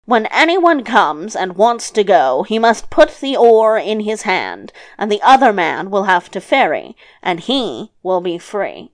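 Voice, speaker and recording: A woman voicing a gruff, irritable male devil in a storybook tale, blustering and grumbling. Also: mid-range voice, big pitch swings, unhurried, hardly any pauses, dry recording. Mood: scornful.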